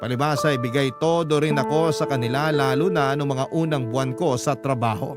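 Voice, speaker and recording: A man's voice: mid-range voice, a little fast. A man giving earnest, scripted first-person storytelling narration for a radio drama. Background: prominent background music.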